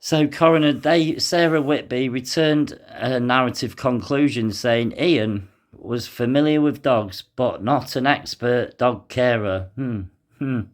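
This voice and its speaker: Man, young adult, slow unhurried delivery, halting with restarts and sighs, mid-pitched voice. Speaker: vlogger reading a news article aloud. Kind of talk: casual commentary mixed with reading aloud.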